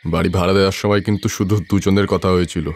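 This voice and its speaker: A man's voice: low-pitched, flat delivery, quick pace. A man voicing a bad-tempered, bossy landlord who scolds and threatens in a cartoon story. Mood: angry, threatening. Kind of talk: cartoon moral story voice acting.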